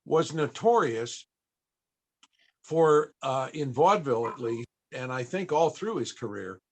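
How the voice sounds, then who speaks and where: A man's voice: mid-range voice, expressive, measured pace. Man telling stories as panel host. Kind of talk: conversational storytelling to an audience.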